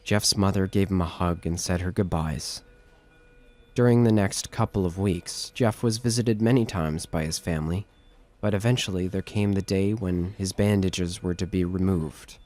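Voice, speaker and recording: A man's voice: deep voice, normal speed, darkish tone. A man giving scripted narration of a horror story as the narrator of an audio drama. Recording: music bed under narration.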